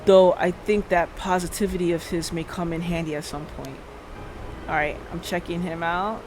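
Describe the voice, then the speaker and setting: Streamer reading a visual novel out loud, playful chatty asides, medium pitch, bit of music behind. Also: steady tone, moderate pace. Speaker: livestreamer. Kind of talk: casual game stream, reading visual novel dialogue aloud and chatting with chat.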